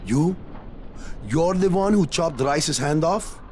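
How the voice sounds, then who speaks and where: A man playing a gruff, suspicious smuggler in a video game, curt and street-tough in manner. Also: on the high side, expressive, slow pace, darkish tone, slight background noise. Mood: skeptical. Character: gruff, suspicious male smuggler guarding a secret route. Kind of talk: video game cutscene acting.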